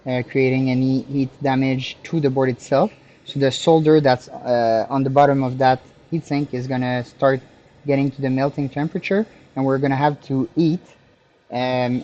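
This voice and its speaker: A man's voice: medium pitch, mellow, highs cut off. A man with a Quebec French accent, a native French speaker, chatting through a live hands-on repair tutorial as the host and technician, often searching for his words. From Canada, French-speaking province.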